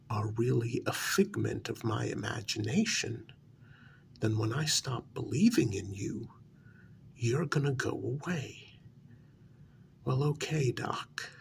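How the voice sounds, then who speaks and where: A man acting as a frustrated, delusional psychiatric patient in an ASMR role-play. His voice is soft and breathy, slipping into whispers, with a gravelly fry. He speaks slowly and haltingly, as if sure he made this world, over faint background noise. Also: mid-range voice, exaggerated voice. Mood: resigned, curt. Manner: conversational, halting, pointedly questioning.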